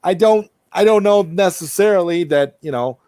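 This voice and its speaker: A man's voice: high-pitched, moderate pace, mellow, no echo, clean studio sound. A man hosting a livestream, chatting casually and answering viewer comments, often repeating and rephrasing himself as he thinks aloud. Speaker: livestream host.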